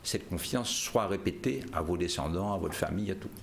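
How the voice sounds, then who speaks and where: A man from Martinique, a guest author, talking haltingly with many restarts in a live studio interview. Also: low-pitched, lively intonation, creaky, slight background noise.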